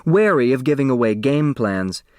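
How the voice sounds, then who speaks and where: A man reading a story as an audiobook narrator, in expressive scripted narration. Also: medium pitch, normal speed, clean tone, no room sound, clean studio sound.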